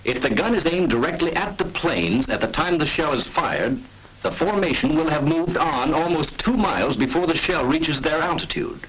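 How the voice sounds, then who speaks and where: A man's voice: fairly high pitch, expressive, normal speed, fairly bright tone, a little hiss or hum, rough recording. An American man narrating a 1940s military training film in an authoritative, clipped, instructional manner, on an old film soundtrack.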